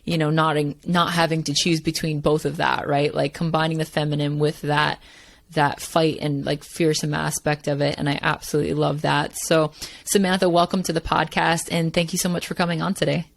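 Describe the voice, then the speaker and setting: A woman's voice: low-pitched, little pitch movement, brisk, gravelly. A woman hosting a podcast, upbeat and chatty, full of "like" and "right". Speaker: podcast host. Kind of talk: casual podcast interview.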